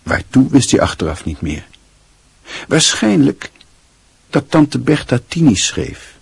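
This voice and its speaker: An actor narrating a literary radio drama in a measured, reflective, scripted style. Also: male voice, mid-range voice, sing-song, creaky, breathy edge, dry recording, full character voice. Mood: wry, reflective.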